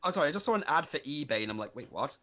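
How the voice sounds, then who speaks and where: A man's voice: a little high, very animated, racing, a bit bright. A man in casual chat as a tabletop game player, talking in a rambling, self-deprecating way.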